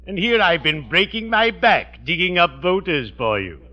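An actor playing a genial undertaker with a solemn delivery, formal and morbidly courteous, in 1940s radio comedy style. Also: male voice, sits high, expressive, normal speed. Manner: formal, flowery, lugubriously courteous. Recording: dull old radio recording.

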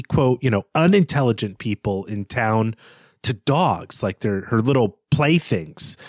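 A man's voice: mid-range voice, dry recording. Reflective man discussing books, dry recording. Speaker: podcast co-host. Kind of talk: conversational literary discussion.

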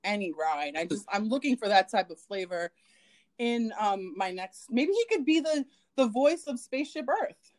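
A woman co-hosting a podcast in relaxed conversation, chatty and giggly, with plenty of ums and likes. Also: medium pitch, moderate pace. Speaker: podcast co-host. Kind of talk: casual chat.

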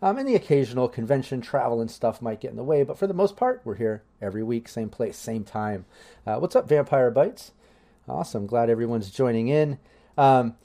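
A man's voice: mid-range voice, vocal fry, mellow. A man hosting a live tabletop game stream, talking casually with many fillers. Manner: chatty, loose, many fillers. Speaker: game master and stream host. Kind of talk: casual livestream chat.

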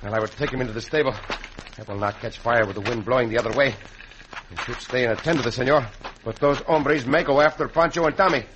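Male actor as gallant western hero, medium pitch, brisk, courteous, noisy old radio. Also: close to an everyday voice. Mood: concerned, resolute. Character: gallant, cool-headed Mexican cowboy hero, courteous.

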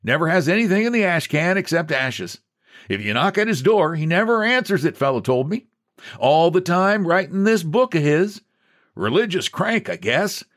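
Audiobook role: chatty small-town cashier, done by a male narrator, pitched well up, folksy and gossipy. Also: big pitch swings, normal speed, a bit bright. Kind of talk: audiobook character dialogue.

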